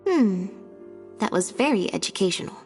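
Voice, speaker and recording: A woman's voice: mid-range voice, big pitch swings, moderate pace, big-room sound, faint music behind. An actress voicing a reserved, laconic young female magician's assistant in a video game, understated and terse. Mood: subdued. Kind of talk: video game character dialogue.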